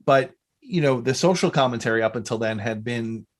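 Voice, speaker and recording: Rambling male podcast host, medium pitch. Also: brisk. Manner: rambling, self-interrupting. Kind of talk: casual fan chat.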